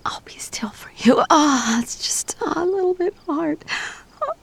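Adult woman for erotic audio: slow, breathy delivery, widely swooping pitch, moans and gasps. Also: expressive. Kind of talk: intimate erotic audio roleplay, addressed to a partner.

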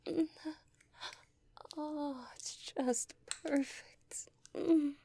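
A woman's voice: high voice, fairly even intonation, extremely slow, dark tone, a little hiss or hum. A young woman speaking in an intimate audio roleplay, whispering breathily and sighing between words.

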